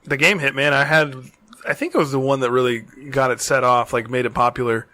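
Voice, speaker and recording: A man's voice: mid-range voice, steady tone, a little fast, bright, forceful tone. A man co-hosting a podcast, chatting casually with crude, irreverent humor. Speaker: podcast co-host. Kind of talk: casual joking chat.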